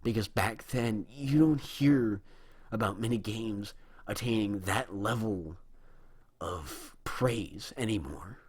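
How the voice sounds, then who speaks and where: A young man in his early twenties delivers a rambling, indignant rant, stumbling and restarting as he goes. His voice sits low and comes out husky, and his throat sounds dry and worn. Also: slow. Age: young adult. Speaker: video host. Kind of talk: opinion monologue on video games.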